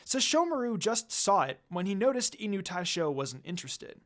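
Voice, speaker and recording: Higher-voiced man narrating with lively pitch. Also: normal speed. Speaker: fan fiction narrator. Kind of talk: scripted story narration.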